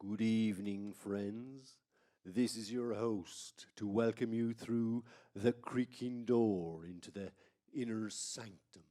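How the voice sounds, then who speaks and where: A man playing a gleefully macabre horror radio host in an old-time style, with a theatrical, drawn-out, mock-sinister delivery. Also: lowish voice, steady tone, warm tone.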